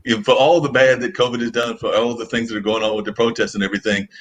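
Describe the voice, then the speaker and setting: A man's voice: mid-range voice, steady tone, fast talker. A man hosting a radio talk show from home over a video call, rambling with many filler phrases. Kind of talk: casual talk show chat.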